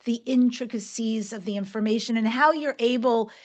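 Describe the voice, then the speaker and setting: A woman's voice: a little high, normal speed. A woman from New York working as a podcast host, speaking directly and with emphasis. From New York, United States. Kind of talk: podcast hosting, announcements and interviewing.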